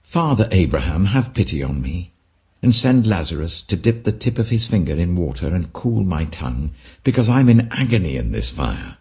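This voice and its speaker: A man's voice: sits low, very animated, moderate pace, mellow. A man voicing a tormented rich man who pleads in agony in a scripture parable. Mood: agonised pleading. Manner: pleading, agonised.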